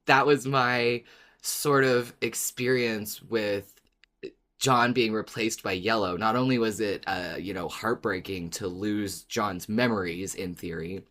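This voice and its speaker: Giggly, animated podcast co-host, medium pitch. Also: a little slow. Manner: giggly, animated, self-correcting storyteller. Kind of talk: casual fan podcast chat.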